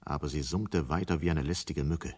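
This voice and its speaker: A man narrating a radio play as a sober storyteller, measured and matter-of-fact. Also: extremely low pitch, darkish tone. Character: sober male storyteller of a dystopian tale.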